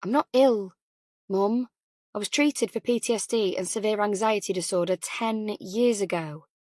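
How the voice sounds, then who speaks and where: A woman voicing a tired, guarded new mother, measured and emotionally frayed, in an audiobook. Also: mid-range voice, a little mellow, no room sound, clean studio sound, close to an everyday voice. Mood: even, defensive. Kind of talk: audiobook character dialogue.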